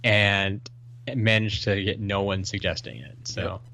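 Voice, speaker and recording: A man's voice: lowish voice, flat delivery, unhurried, pushed and bright, soft background music. A man co-hosting a casual podcast, reading listener emails and chatting with many restarts and fillers. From Washington State, US. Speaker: podcast co-host.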